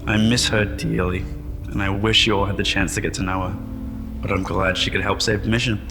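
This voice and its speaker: A man's voice: on the low side, flat delivery, brisk, creaky. Actor as a wry man in a big hall. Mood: tender, reflective. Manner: self-deprecating, reflective, conversational. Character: wry, modest man revived from centuries in cryostasis. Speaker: interviewee at a press appearance. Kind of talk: sci-fi audio drama acting. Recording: long hall reverb, music behind.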